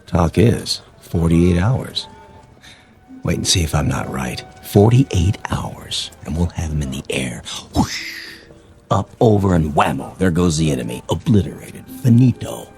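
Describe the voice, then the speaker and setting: A man's voice: low voice, very animated, unhurried, a little mellow, faint music behind, full character voice. An actor in a radio drama playing a smug, hawkish man who gloats and needles with menace. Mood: gloating.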